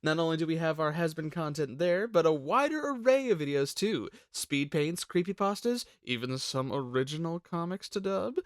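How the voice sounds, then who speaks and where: A man hosting a scripted promotional outro as a voice actor, in a showy, animated manner. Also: fairly high pitch, moderate pace, hardly any pauses, no echo, studio quality. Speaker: channel host and voice actor.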